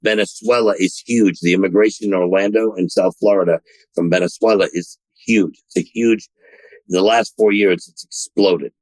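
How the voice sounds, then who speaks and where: A man with a low voice talks shop as a podcast guest, doubling back and restarting his sentences. He sounds close and dry, with no room around him. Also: steady tone, a little mellow, no echo, low-quality recording. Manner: many restarts and repeated words, talks in quick bursts. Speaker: dealership general manager, guest. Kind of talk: podcast interview.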